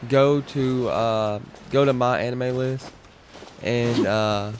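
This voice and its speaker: A man's voice: mid-range voice, fairly even intonation, takes it slowly, slight background noise. A young man streaming a game, chatting casually and rambling to his viewers. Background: game music.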